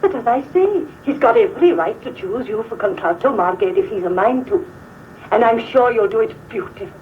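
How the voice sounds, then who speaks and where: A woman's voice: on the high side, expressive, a little fast, natural voice. Genteel Welsh village woman played by an actress, thin sound. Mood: brightly polite. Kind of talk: 1950s British film dialogue. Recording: thin phone-like old film tape, faint hiss.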